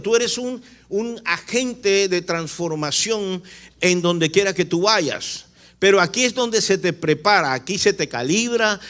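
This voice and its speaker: A man's voice: on the high side, fairly bright tone. A man from Barranquilla with a Colombian Caribbean accent, preaching a teaching sermon, emphatic, repeating his points and putting rhetorical questions to his listeners. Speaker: preacher, apostle.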